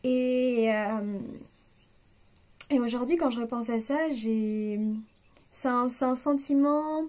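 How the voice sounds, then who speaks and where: A woman in her late twenties speaks straight to her viewers in a loose, unscripted way. She stops and restarts often and fills pauses with small hesitations. Her voice sits a little high for a woman. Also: darkish tone. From France. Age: 20s. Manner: hesitant, many fillers and restarts, confiding tone. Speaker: vlogger. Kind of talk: spontaneous personal reflection.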